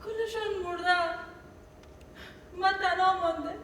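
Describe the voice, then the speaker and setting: A woman's voice: very high voice, steady tone, rapid-fire, fairly bright tone. A woman performing an intense, dramatic stage monologue. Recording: echoey stage from far off, faint noise.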